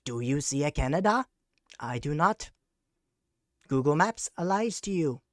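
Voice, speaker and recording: A speaker putting on a pompous, theatrical voice as a mock-serious conspiracy lecturer, with a drawn-out delivery that drifts toward a British accent. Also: medium pitch, animated, no echo, studio quality, close to an everyday voice. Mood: lecturing. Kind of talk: mock conspiracy presentation over slides.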